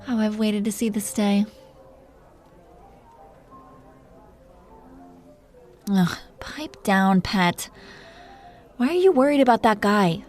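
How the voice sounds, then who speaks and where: A woman playing a possessive, protective dragon queen in an intimate roleplay audio drama, teasing and coaxing the listener in soft tones that can drop toward a whisper. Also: medium pitch, unhurried, natural voice. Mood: amused, smug.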